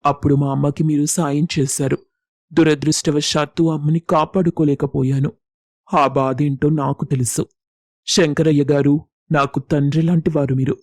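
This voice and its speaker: A man's voice: a little high, a little mellow. A man acts out a selfless young village man in a dramatised moral story. He speaks earnestly and respectfully, reassuring the listener with kindness. The sound is dry, free of room echo.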